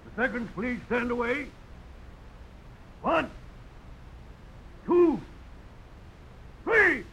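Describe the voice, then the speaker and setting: An actor playing a formal, commanding duel referee, calling out loudly in a 1950s radio drama. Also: male voice, extremely high pitch, lively intonation, very slow, gravelly, exaggerated voice. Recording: noisy old radio broadcast.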